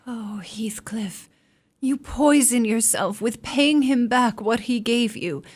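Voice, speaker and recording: A woman acts out a young wife in a radio drama, high-voiced and slow to speak. She is lovelorn and wounded, pleading desperately with emotional intensity. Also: a little mellow.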